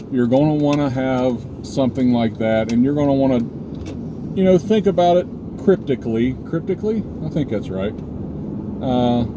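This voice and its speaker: A man with a mid-range voice speaks informally, like a vlog host teaching a practical lesson. He explains things deliberately, point by point, and often checks that listeners follow. A loud, constant hum of background noise sits behind his voice throughout. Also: medium pitch. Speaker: preparedness video host, former law enforcement officer. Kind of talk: informal instructional vlog talk.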